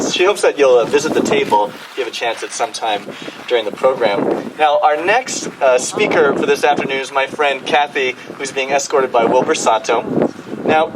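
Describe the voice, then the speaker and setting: Man making announcements through loud wind noise. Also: medium pitch. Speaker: master of ceremonies. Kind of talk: informal event announcements. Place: outdoors at a historic site. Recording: wind-buffeted outdoor event microphone, loud noise.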